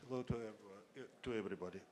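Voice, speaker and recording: Man asking haltingly, low voice. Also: a little mellow. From Ukraine. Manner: halting, searching for English words. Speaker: audience questioner, private investor. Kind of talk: question from the floor at a panel.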